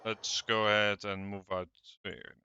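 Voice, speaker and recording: A man streaming a video game, giving brief, casual, low-key reactions as he plays. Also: level tone, measured pace, sharp tone, smooth, lo-fi sound.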